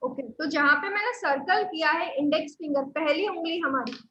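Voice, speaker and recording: A woman's voice: sits high, normal speed, fairly bright tone, echoey room, muffled recording. A woman teaching a live online class on acupressure therapy, explaining steps patiently and repeating key points. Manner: patient, repetitive step-by-step instruction. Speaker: Sujok therapy instructor.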